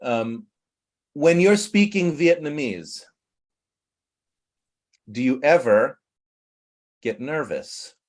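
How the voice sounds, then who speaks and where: A man teaching English to a group on a video call, lively and joking. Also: medium pitch, very slow, long pauses. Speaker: English teacher leading an online class.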